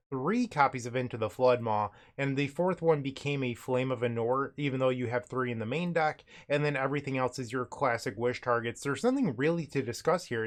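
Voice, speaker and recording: A man's voice: medium pitch, fairly bright tone. Man with a cracking, under-the-weather voice. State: recovering from illness, voice cracks and cuts in and out. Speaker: host, card game streamer. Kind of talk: casual gameplay commentary.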